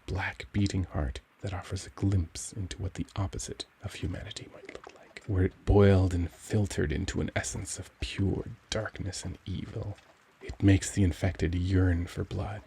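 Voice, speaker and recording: A man playing a gentle, cultured young vampire in an intimate ASMR roleplay, speaking formally and tenderly as he struggles for control. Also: low voice, creaky, slight background noise. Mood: confessional, brooding. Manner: formal, courtly, tender, brooding.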